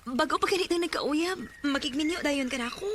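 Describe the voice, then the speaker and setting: A young Cebuano woman in a radio drama, high-voiced and modest. She is soft-hearted and easily taken by surprise. Clear background noise runs under her voice. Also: fairly quick. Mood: surprised, coy. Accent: Filipino, Cebuano Bisaya. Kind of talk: Bisaya radio drama acting.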